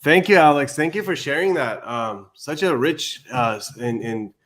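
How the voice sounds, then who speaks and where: A man from Iran with a mid-pitched voice talks as a family therapist. He gives empathetic advice and reassures the listener, often halting and doubling back on his words. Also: a bit bright.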